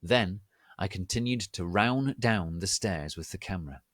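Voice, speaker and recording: A man giving a theatrical, deliberate, mock-serious dramatic reading of fan fiction. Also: sits low, expressive, no room sound, studio quality. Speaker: reader of online fan fiction.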